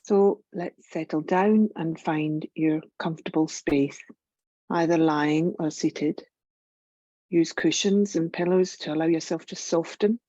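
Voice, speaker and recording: A woman with a lowish, soft-edged voice leads a guided meditation. She speaks gently and soothingly, leaving generous pauses between phrases. The recording is dry and close, with a slightly dull, muffled sound. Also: no echo. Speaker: yoga nidra meditation guide.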